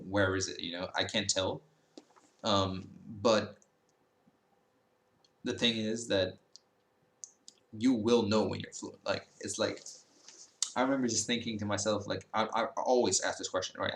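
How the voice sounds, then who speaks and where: A man's voice: lowish voice, fairly bright tone, echoey room. A man in his early twenties, talking casually to camera in a rambling way, full of fillers and self-corrections. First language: Dutch. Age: 20s. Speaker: language-learning YouTuber.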